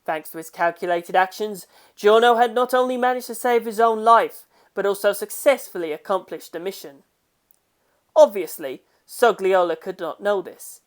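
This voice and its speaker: A woman's voice: medium pitch, moderate pace. A woman reading a scripted dramatic story as its narrator. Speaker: audiobook narrator of fan fiction.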